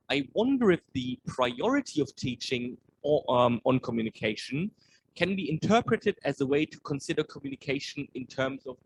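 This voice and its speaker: A man's voice: mid-range voice, slight background noise, low-quality recording. A man moderating an academic talk, reading out questions from the audience.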